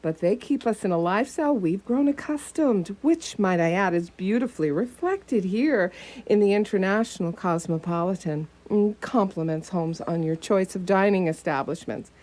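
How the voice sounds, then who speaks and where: A woman playing a detective's bossy, cheerful companion in a comic radio play, speaking in a brisk, wry, insistent way. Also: medium pitch, expressive, a little mellow, close to an everyday voice. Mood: teasing. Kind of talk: comic radio theatre acting.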